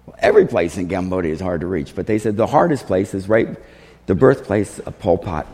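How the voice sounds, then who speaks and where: A man speaking as a missionary, giving a storytelling testimony to a congregation in an animated way, acting out dialogue. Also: low voice, fairly quick. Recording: reverberant hall.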